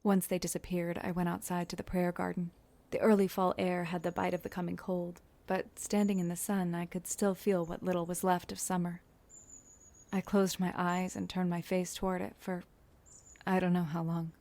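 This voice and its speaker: A woman's voice: lowish voice, little pitch movement, normal speed, darkish tone, close to an everyday voice. A woman playing a wounded, sardonic narrator who recalls her schoolgirl self in a confessional first-person audio drama. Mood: reflective, subdued.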